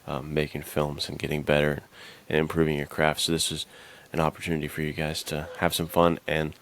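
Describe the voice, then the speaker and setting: A man's voice: a bass voice, completely flat delivery, fairly quick, a bit bright. A man co-hosting a podcast, talking casually and informally with plenty of slang. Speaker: podcast co-host.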